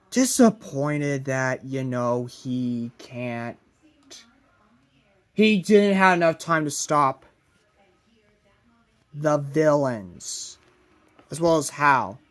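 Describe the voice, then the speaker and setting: A man narrating a fan-made plot idea in a halting way, in short broken phrases. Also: mid-range voice, fairly bright tone.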